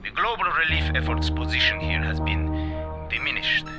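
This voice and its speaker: Man playing a callous official, sweeping pitch, loud music. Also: animated, moderate pace, close to an everyday voice. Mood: cold authority. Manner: clipped, formal, unbending. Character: callous, imperious male defence ministry official. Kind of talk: video game voice acting.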